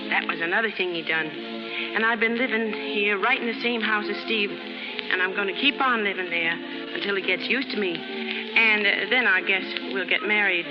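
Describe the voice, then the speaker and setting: A woman's voice: medium pitch, normal speed, natural voice. An actress playing a brash, naive young chorus girl from the slums in 1930s American radio drama, with slangy, ungrammatical street talk. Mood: eager, lovestruck. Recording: muffled 1930s radio recording with music.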